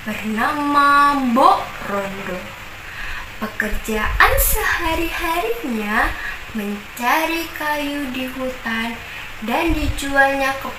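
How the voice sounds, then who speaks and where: A young woman telling a folk tale at an unhurried, sing-song pace, her high voice rising and falling with expression. She sounds set back from the mic in an echoey room, with steady noise behind her. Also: distant mic. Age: young adult. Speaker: university student.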